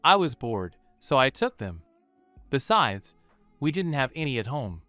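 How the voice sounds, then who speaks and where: A man's voice: mid-range voice, big pitch swings, measured pace, pushed and bright, no room sound, faint music behind. A man voicing a cheeky, mischievous young girl in a spoken storybook, pouty and defensive.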